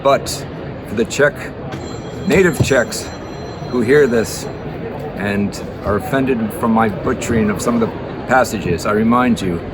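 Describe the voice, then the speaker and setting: A man's voice: on the low side, a little slow, pauses often, noisy background. A man introducing a reading in a hesitant, casual way with many fillers. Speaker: bookshop owner, guest reader.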